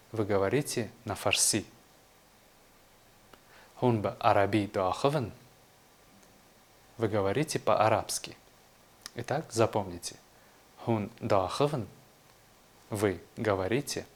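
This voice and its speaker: A man giving a language lesson, measured and clear, saying each phrase and then translating it. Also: fairly low pitch. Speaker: language teacher. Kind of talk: scripted video lesson.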